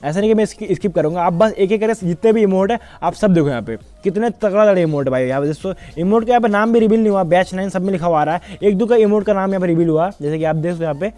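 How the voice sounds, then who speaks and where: A young man talks through game updates in a casual YouTuber style. His voice is high for a man and fairly soft-edged, and he moves very quickly. He is chatty and leans on repeated tags to check the listener follows. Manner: repetitive verbal tags, chatty. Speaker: gaming YouTuber.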